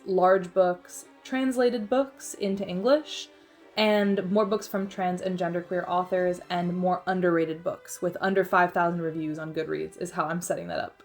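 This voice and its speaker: A woman's voice: medium pitch, darkish tone. A young woman chatting casually as she narrates her own vlog, self-deprecating and full of filler words. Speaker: book vlogger.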